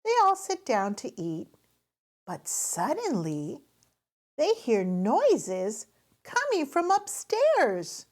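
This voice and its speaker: A woman reading a picture book aloud to young children in an expressive, carefully paced way. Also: sits high, takes it slowly. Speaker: children's story reader, host.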